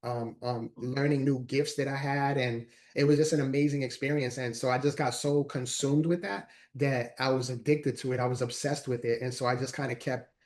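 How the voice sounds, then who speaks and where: A man's voice: mid-range voice, fairly even intonation, moderate pace, few pauses, darkish tone. A man in his forties, a guest speaker telling his story in an earnest, repetitive way in a casual interview. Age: 40s. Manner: earnest, repeats words while talking. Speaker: guest, motivational speaker and author.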